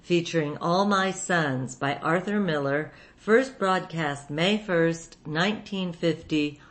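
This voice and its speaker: Female radio presenter, very low register, measured scripted delivery. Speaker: radio show host. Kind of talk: scripted introduction.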